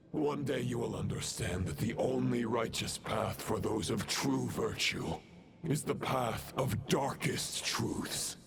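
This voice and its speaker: Gravelly, pompous fallen knight villain. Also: male voice, mid-range voice, heavy background noise, heavily acted. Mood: triumphant, solemn. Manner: pompous, archaic, declamatory. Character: grim, fallen knightly villain serving the Abyss, ancient, self-righteous. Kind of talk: dramatic video game voice acting. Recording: music under the voice. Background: game music.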